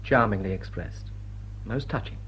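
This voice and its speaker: A man's voice: fairly low pitch, moderate pace, fairly bright tone, breathy edge, poor audio. An actor playing a witty, suave and teasing male English lord in a vintage drawing-room drama. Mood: dry, teasing. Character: dashing, witty, roguish young English lord.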